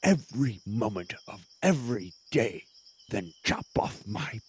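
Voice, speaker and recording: A man playing a proud, pious bearded warrior in armor, speaking earnestly and solemnly in a husky voice for a tabletop game. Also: lowish voice, very animated, unhurried, a little mellow, exaggerated voice. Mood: defiant. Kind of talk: tabletop roleplay acting.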